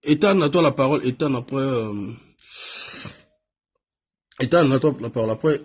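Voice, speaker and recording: Man, live stream host, from Congo-Brazzaville, loud short replies, slightly husky voice. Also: mid-range voice, normal speed. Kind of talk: casual live call-in chat.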